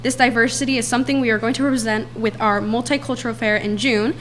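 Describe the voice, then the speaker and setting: A woman's voice: fairly high pitch, steady tone, a bit bright, a little hiss or hum. A young woman, a student, reading a prepared report aloud to a town council in earnest. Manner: earnest, reads quickly from notes. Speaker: student speaker.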